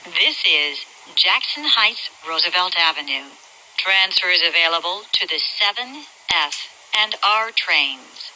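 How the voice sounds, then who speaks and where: An American woman reading scripted transit announcements in a measured, clearly enunciated way, in segmented phrases. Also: fairly low pitch, expressive, sharp tone. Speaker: train announcer. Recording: noisy train announcement over speaker.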